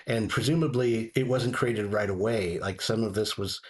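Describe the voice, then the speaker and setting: Slightly low-voiced man reviewing, halting. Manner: halting, rambling, doubles back on phrases. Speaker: audio drama reviewer, show contributor. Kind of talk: casual review chat.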